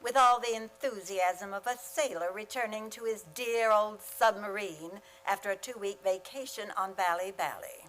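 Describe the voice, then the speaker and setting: A woman playing a wry, sardonic high school teacher in an old-time style radio comedy, with dry, sarcastic delivery. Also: moderate pace, few pauses, a bit bright, natural voice, poor audio.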